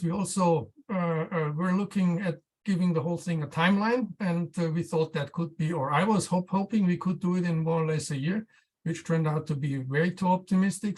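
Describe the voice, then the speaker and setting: A researcher giving an informal technical presentation, speaking haltingly and often repeating words while searching for the right phrasing. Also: medium pitch, darkish tone. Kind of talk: technical talk, loosely scripted presentation.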